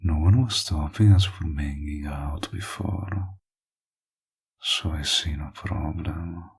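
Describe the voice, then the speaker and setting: A man playing a flirtatious, possessive villain in an intimate roleplay audio, teasing and smug, with a husky, gravelly voice that often drops toward a murmur. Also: very deep voice, takes it slowly, exaggerated voice. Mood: flirtatious, coaxing.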